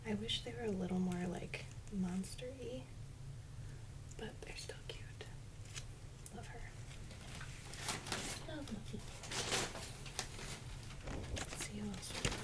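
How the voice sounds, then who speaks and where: A young adult woman speaking softly in a breathy, whispery voice, in a gentle, casual ASMR unboxing style. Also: mid-range voice, slow, pauses often, heavy background noise. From Mexican by nationality or heritage. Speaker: ASMR video creator. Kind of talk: soft-spoken ASMR unboxing.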